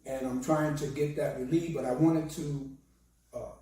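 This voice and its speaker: A hoarse man, a minister, giving a slow and solemn sermon with a recited prayer. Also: medium pitch, steady tone, dark tone. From United States. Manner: slow, measured, solemn delivery. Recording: very echoey, distant from the mic.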